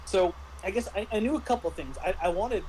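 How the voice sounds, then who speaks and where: A man in a casual discussion as a game master, speaking haltingly and often restarting his phrases. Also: high voice, a little mellow, a little hiss or hum, low-quality recording. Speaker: game master reviewing a tabletop RPG.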